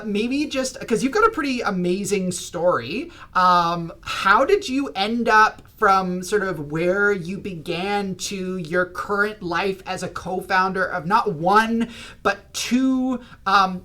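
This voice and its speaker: Bright, energetic livestream host guy. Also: sits high, unhurried. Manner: energetic, enthusiastic. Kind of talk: livestreamed interview.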